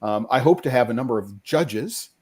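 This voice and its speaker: A man's voice: medium pitch, lively intonation, normal speed, a little mellow, slightly rough. A man hosting a casual live-stream interview, talking conversationally with frequent ums and restarts. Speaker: host.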